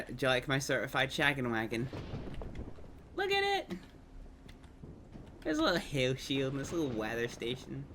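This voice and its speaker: A man streaming a game, hoarse and gravelly from a cold, exclaiming and swearing excitedly as he plays. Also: mid-range voice. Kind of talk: live gameplay commentary. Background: storm chase game sounds, wind and vehicle.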